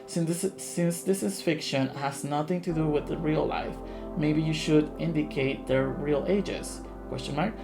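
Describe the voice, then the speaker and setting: A man's voice: fairly high pitch, measured pace. A man hosting a casual live Q&A as a game developer, playful and self-deprecating. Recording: background guitar music. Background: guitar music.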